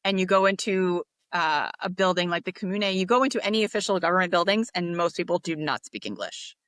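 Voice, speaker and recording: A woman's voice: lowish voice, animated, a little fast, a bit bright, no echo. American woman reflecting on life abroad. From United States. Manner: reflective, rambling, with fillers and restarts. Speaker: podcast guest. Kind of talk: casual interview talk.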